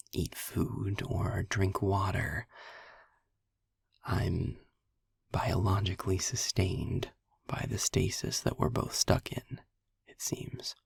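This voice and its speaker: A man playing a sarcastic, world-weary demon in an intimate audio roleplay, speaking deliberately and wryly in a breathy, husky near-whisper. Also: low-pitched, a little mellow, no echo, studio quality, exaggerated voice. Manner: sardonic, deliberate, wordy. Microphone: close mic, dry.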